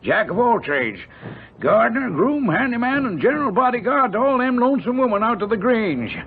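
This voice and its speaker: A man's voice: very high voice, big pitch swings, a little fast, full character voice. An actor playing a kindly, folksy old American handyman, wheezy and chatty, in a radio drama. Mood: folksy, friendly. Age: older. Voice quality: wheezy, gravelly. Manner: rustic, chatty, teasing. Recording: lo-fi old radio broadcast, noisy.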